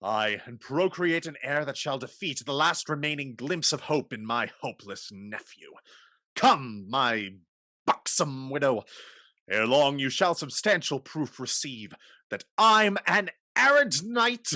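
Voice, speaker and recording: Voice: medium pitch, lively intonation, measured pace, pushed and bright, no room sound. An actor playing a swaggering, declamatory male suitor, a blustering knight in a Restoration comedy. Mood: ardent. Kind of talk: theatrical Restoration comedy reading.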